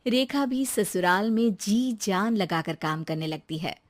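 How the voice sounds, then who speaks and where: A woman's voice: medium pitch, expressive, measured pace. A woman storyteller reading scripted narration for a moral story.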